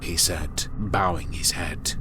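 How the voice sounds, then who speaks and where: Very deep male dramatic narrator. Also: fairly even intonation, slow pace. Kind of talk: dramatic scripted story narration. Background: music.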